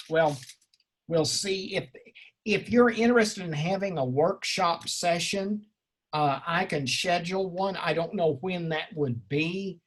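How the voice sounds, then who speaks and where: A man leading an informal seminar discussion as the instructor, effusive and erudite, rambling and joking, with a slightly husky voice. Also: a little high, fairly bright tone. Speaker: instructor leading an online class session.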